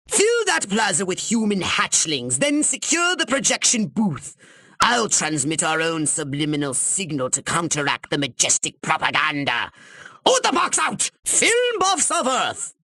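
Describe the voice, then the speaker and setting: A man voicing a pompous, short-tempered alien commander in a video game, haughty and precisely worded, with a nasal, sharp-edged delivery. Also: sits high, dry recording, clean studio sound, full character voice. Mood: exasperated, bombastic. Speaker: mission commander giving orders. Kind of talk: theatrical video game voice acting.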